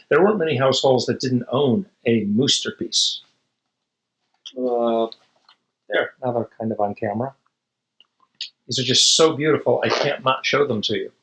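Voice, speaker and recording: A man's voice: mid-range voice, expressive, moderate pace, a bit bright, roomy sound, poor audio. A man reading a children's picture book aloud expressively, with dramatic emphasis and casual asides. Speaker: storybook reader.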